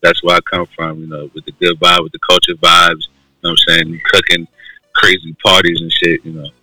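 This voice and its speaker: A man's voice: deep voice, level tone, fairly quick, pushed and bright, no echo, low-quality recording. A man from New York, a guest in a casual chat, talking in a laid-back, slangy way with plenty of fillers.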